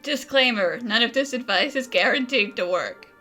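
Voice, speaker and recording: A woman's voice: fairly high pitch, animated, normal speed, music in the background. A woman giving casual gameplay commentary in a playful, wry way. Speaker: gamer playing along.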